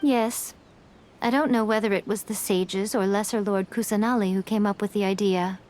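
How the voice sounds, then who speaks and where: A woman's voice: mid-range voice, steady tone, normal speed, a little mellow, close to an everyday voice. A woman voicing a sly, playful fox-spirit woman in a game, with a poised, teasing way of speaking. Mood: calm. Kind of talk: game dialogue.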